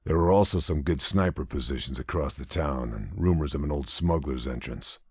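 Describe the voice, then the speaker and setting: A man voicing a calm, methodical wartime sniper in a video game cutscene, speaking in low, clipped, measured tones. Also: husky, no echo, clean studio sound. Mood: calm, planning. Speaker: sniper protagonist.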